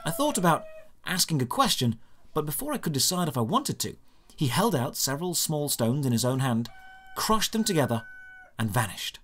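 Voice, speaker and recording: A man with a slightly higher-pitched voice narrates a fantasy audio drama in the first person. His delivery is deliberate and dramatic, with lively, varied intonation that rises and falls through the scripted prose. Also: a little hiss or hum.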